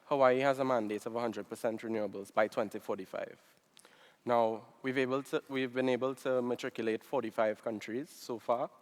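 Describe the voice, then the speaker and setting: Man speaking in a reverberant hall. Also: on the low side. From Trinidad and Tobago. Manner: relaxed, frequent ums. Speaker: NGO director, panel speaker. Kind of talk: conference presentation.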